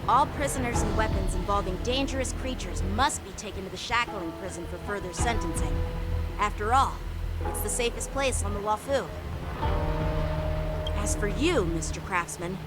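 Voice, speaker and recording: A woman's voice: mid-range voice, moderate pace, natural voice. Woman acting earnest, authoritative young game officer. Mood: serious, courteous. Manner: formal, decisive. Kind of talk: video game dialogue. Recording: game audio under loud music.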